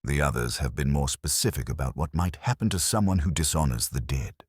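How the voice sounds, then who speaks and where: A man's voice: extremely low pitch, a little fast, slightly rough, no echo, clean studio sound. A man voicing a worried, protective husband with a tight, concerned manner in a mystery story. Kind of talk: scripted mystery story dialogue.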